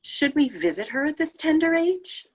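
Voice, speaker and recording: A woman playing a compassionate, questioning young saint from heaven in a play table read, with a wry, expressive delivery. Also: sits high, normal speed.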